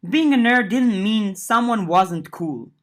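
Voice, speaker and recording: A woman narrating an audiobook of a fiction serial, lifting her voice for dialogue and settling lower for narration. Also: lively intonation, slow. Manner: reads dialogue with lifted pitch, narration lower and softer. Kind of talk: audiobook reading of a web serial.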